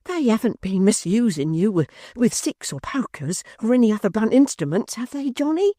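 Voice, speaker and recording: A woman's voice: on the high side, big pitch swings, moderate pace, mellow, dry recording, clean studio sound, pitched above the performer's own voice. A woman voicing a little, weepy, tender-hearted male church clerk who whimpers and pleads. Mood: pleading.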